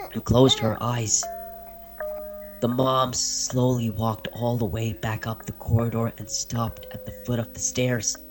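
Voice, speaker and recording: A man narrating a dark first-person horror story in a deliberate, dramatic way, leaving heavy pauses. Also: mid-range voice, rough recording. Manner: slow, deliberate, with dramatic pauses and hushed moments. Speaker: narrator of a dark fiction podcast.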